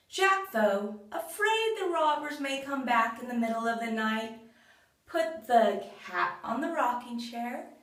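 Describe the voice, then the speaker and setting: A woman narrating a children's folktale in a measured way, with sing-song refrains. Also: a little high, fairly bright tone. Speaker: storyteller. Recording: very roomy echo, far from mic.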